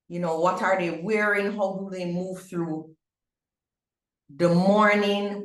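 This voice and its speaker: A woman's voice: mid-range voice, roomy sound, poor audio. A middle-aged Jamaican woman hosting a parents' webinar, upbeat, with many fillers and restarts. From Barbados. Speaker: webinar host. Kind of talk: webinar info session.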